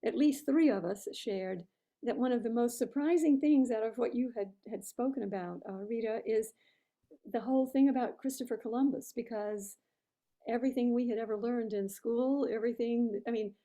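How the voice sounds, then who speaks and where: A woman's voice: on the high side, normal speed, mellow. A woman taking part in a workshop, casually sharing her reflections with the group. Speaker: workshop participant sharing feedback.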